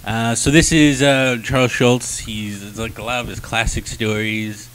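A young man with a medium-pitched voice hosts in loose, informal chat. He swears freely into a handheld mic, and there is faint noise on the recording. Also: flat delivery, measured pace, a bit bright. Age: young adult. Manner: informal, profane. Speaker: comic review show host. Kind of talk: casual chat. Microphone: handheld microphone.

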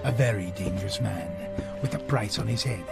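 Tough male mercenary, gravelly, terse, brooding, video game cutscene with clear music underneath. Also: fairly low pitch, fairly quick. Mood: cool, businesslike. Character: tough, cocky male gun-for-hire action hero. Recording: music behind.

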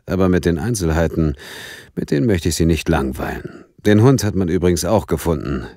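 An actor playing a dry, wry police detective in a radio play. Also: male voice, deep voice, a little mellow, no room sound. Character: wry English police detective narrating his case. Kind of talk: radio play acting and scripted narration.

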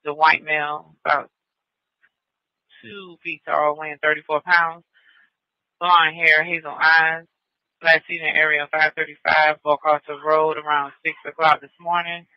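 Casting: formal male dispatcher, fairly high voice, issuing bulletins on a dry radio channel. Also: dry recording. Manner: formal, procedural. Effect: police radio. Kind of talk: reading out a lookout bulletin. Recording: dull lo-fi dispatch radio audio.

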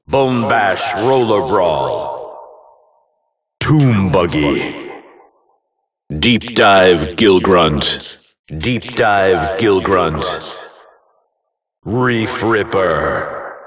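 A man's voice: deep voice, fairly bright tone, full character voice. A man playing a grand fantasy video game announcer, declaiming names in a dramatic, emphatic way.

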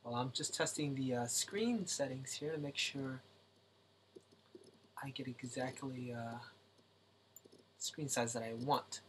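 Soft-spoken man streaming late at night. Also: mid-range voice, slow, pauses often, a little hiss or hum. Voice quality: speaks softly to keep voice down. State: slightly raspy from singing. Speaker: livestream host drawing. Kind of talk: casual late-night livestream chat. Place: home at night.

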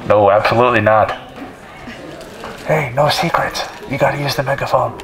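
A man in his twenties speaking through a megaphone in an awkward, deadpan prank style. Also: medium pitch, normal speed. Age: 20s. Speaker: prankster and video host. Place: campus lawns and lounges, some distance from camera. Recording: megaphone voice amid background chatter.

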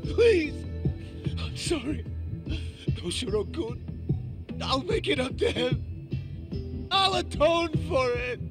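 A man's voice: extremely high pitch, heavily acted. An actor playing a terrified, panicked man who pleads desperately for his life in a game drama. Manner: pleading, drawn-out, repetitive. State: panicked, crying out.

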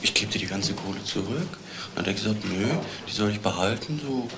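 An actor playing an edgy, street-smart burglar in a radio crime drama, talking in a blunt, wary, colloquial way. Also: male voice, fairly low pitch, a little fast, creaky, noisy background. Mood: confessional. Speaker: petty criminal.

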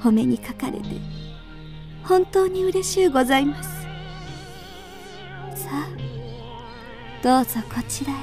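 A high-voiced, wistful young ghost. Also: female voice, animated, a little slow, long pauses, music in the background. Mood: tender, inviting. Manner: polite, refined old-fashioned feminine speech. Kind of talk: Japanese radio drama acting.

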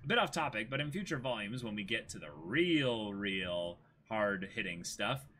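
A man in his twenties co-hosting a casual livestream, chatting and reading out viewer comments in an animated way. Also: lowish voice, moderate pace, breathy edge. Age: 20s. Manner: animated, exclaiming thanks to viewers. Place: small indoor room with a backdrop.

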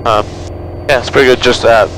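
A man's voice: medium pitch, fairly even intonation, brisk. A man working as a flight instructor, giving short coaching instructions over a headset in a small airplane cockpit. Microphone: aviation headset mic. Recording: loud aircraft engine noise.

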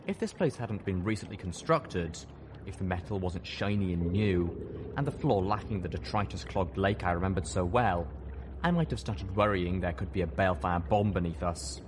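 A man's voice: low voice, normal speed, a little hiss or hum. A man reading scripted first-person fiction as an audiobook narrator.

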